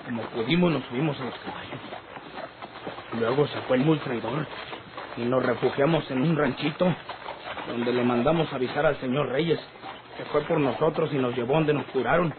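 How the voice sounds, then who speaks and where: A man's voice: mid-range voice, moderate pace, lightly acted. An actor playing a rustic Mexican hired gunman, telling things emphatically in an old radio drama. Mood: indignant. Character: rustic hired gunman recounting his wounds. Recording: noisy, tinny old radio recording.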